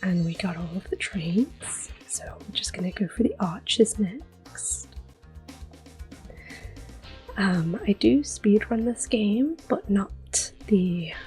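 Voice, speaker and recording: A woman speaks in a hushed, breathy voice that often slips into a whisper, at a slow and gentle pace. She is hosting an ASMR video game playthrough in a soft-spoken style, with music quietly playing behind her. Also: medium pitch, expressive. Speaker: gaming video host.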